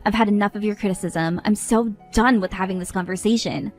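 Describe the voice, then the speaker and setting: A woman's voice: medium pitch, steady tone, brisk, faint music behind, close to an everyday voice. A woman voicing an exasperated young bride who scolds others in an indignant tone.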